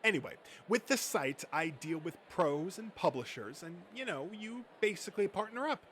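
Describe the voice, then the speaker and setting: A man's voice: sits high, lively intonation, moderate pace, fairly bright tone, a little hiss or hum. A man voicing a boastful, thin-skinned male comic-book nerd who rants and swears self-importantly in an amateur comic dub. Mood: scheming, bitter.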